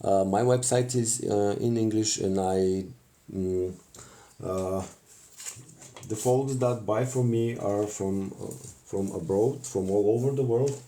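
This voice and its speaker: Slow, halting Bulgarian-accented man. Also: on the low side, dark tone. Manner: halting, many fillers and restarts, non-native grammar. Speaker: craft livestream host. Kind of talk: casual live crafting demonstration.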